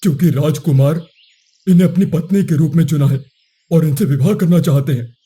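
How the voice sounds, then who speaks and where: A man's voice: a little high, brisk, mellow, close to an everyday voice. A man voicing a courteous royal soldier in a cartoon story, formal and respectful. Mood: firm, polite. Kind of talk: cartoon moral story voice acting.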